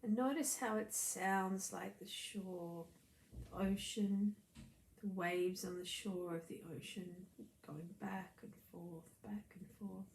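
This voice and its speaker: Soft mellow lady doing guided breathing meditation, real slow, kinda echoey room. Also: medium pitch, steady tone, creaky. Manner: soothing, unhurried, gently instructive. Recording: roomy echo, faint background noise.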